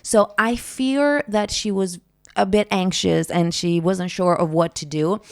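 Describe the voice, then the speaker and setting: A woman's voice: medium pitch, measured pace, no echo, studio quality. A young woman from Greece, a singer herself, chatting casually and expressively as she ranks song contest entries on her vlog. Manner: chatty and expressive, gushing with repeated words. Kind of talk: casual reaction chat.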